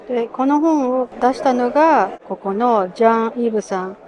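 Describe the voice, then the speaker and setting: A woman's voice: fairly high pitch, normal speed, a little mellow, rough recording. A woman giving casual commentary to viewers while she films her walk through a bonsai expo. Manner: chatty, talks to viewers while filming. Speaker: vlogger touring a bonsai expo. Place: bonsai pavilion and garden tents, partly outdoors. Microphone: handheld camera, speaker off screen.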